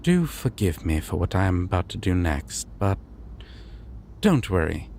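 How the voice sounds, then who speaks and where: Man as a courteous, slow-spoken god of dreams. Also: low-pitched, sing-song, vocal fry, heavy background noise, full character voice. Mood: composed, grand. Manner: polite, formal, measured, theatrical pauses. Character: courteous, formal male deity of dreams, calmly mischievous. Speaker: voice actor in audio roleplay. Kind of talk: immersive roleplay addressed to the listener.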